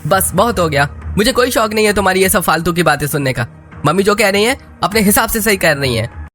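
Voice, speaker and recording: A man's voice: high-pitched, lively intonation, brisk, fairly bright tone, soft background music, pitched above the performer's own voice. A man voicing an angry young husband in a cartoon story, indignant and dismissive. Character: angry young husband rebuking his wife.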